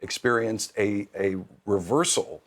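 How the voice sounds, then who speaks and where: A man over fifty giving measured, articulate explanations as an interview guest. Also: fairly low pitch. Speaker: nonprofit program leader, interview guest.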